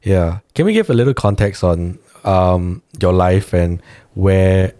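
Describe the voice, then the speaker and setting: Man, podcast host, very deep, mellow, easygoing questions, brief encouraging replies. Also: unhurried. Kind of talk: casual interview.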